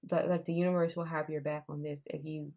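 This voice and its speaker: A young woman giving a casual tarot reading. She talks in a loose, repetitive way, and her voice has gone raspy. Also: sits low, flat delivery, fairly quick, mellow. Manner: conversational, repeats herself, says "I'm seeing" often. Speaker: tarot reader.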